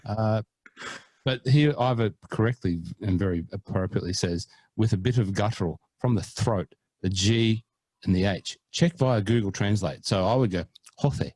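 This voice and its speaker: A man's voice: lowish voice, normal speed, darkish tone, no room sound. A man co-hosting a golf podcast in casual chat, playful and mock-earnest, hamming up foreign pronunciations. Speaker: podcast co-host.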